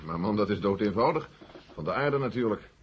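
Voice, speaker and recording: A skeptical senior general played by a man in an old radio play. He sounds stiff and pompous, with a lowish voice on a muffled recording. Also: expressive, fairly quick, slight background noise, natural voice. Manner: formal, pompous, dismissive. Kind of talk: 1970 Dutch radio drama acting.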